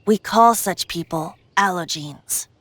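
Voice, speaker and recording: A woman's voice: lowish voice, slow, a bit bright, slight background noise. A woman voicing a youthful, playful male bard who is secretly a god, in an anime-style game. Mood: wistful, confiding.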